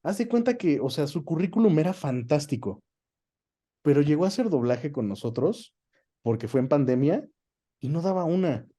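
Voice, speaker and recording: A man's voice: fairly high pitch, expressive, moderate pace, dark tone. A man with a Mexican accent in a conversational interview as the guest, explaining things colloquially with examples and frequent tag questions. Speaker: interview guest, dubbing director.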